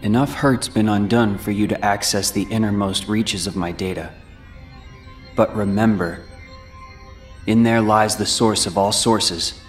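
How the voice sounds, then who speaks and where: A man's voice: on the low side, fairly even intonation, a little slow, faint music behind, natural voice. An actor playing a cool, brooding older teenage boy in a video game, with a reserved, serious, understated delivery. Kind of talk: English video game voice acting.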